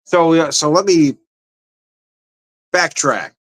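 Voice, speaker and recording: A man's voice: on the high side, animated, slow pace. A man giving a casual, rambling tasting review, talking to the camera with frequent laughs and restarts. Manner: chatty, rambling, laughs often. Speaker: drinks reviewer.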